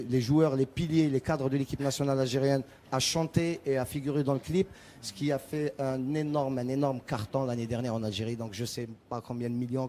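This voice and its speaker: A male singer with Arabic as his first language talks through his answers in a TV interview, often stumbling and repeating words as he searches for phrasing. His voice is dark and mellow. Faint noise sits behind him. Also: medium pitch. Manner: hesitant, many repeated words and restarts. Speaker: singer, guest.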